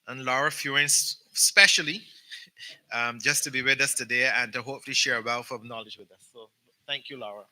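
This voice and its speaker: A man's voice: mid-range voice, a little fast, pushed and bright, rough recording. A man moderating a business forum panel, introducing speakers with many ums and repeated words. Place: conference hall.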